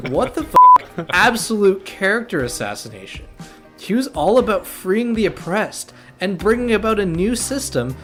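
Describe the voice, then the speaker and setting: A man doing a dramatic comic reading, ranting with exaggerated indignation. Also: sits high. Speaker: comedy reader.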